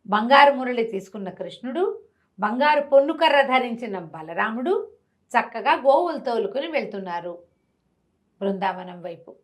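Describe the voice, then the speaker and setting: A woman telling a devotional story in lively, conversational Telugu speech, often repeating words and restarting. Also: normal speed. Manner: lively, rises and drops in pitch and volume.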